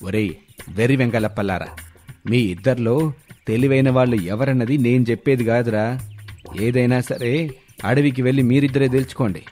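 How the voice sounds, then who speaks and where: A man's voice: medium pitch, a little mellow, close to an everyday voice. A man voicing an irritable village elder who is worn out by two fools. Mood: irritated. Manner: exasperated, grumbling.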